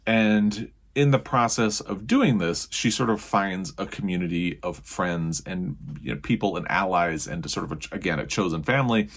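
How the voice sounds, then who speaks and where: A man giving a casual, chatty book review as a video host, leaning on key words and adding self-qualifying asides. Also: on the low side.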